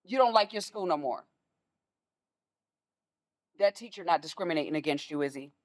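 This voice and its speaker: A woman's voice: sits low, expressive, normal speed. A woman playing a stern, protective mother in a stage play, scolding and pressing with pointed questions. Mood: alarmed, disbelieving. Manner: forceful, scolding, rhetorical questions.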